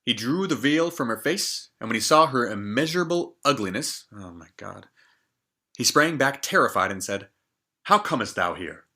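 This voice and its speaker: A man in his twenties reading a fairy tale aloud, expressive and jokey, with casual asides. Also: mid-range voice, normal speed. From Canada. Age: 20s. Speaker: storyteller.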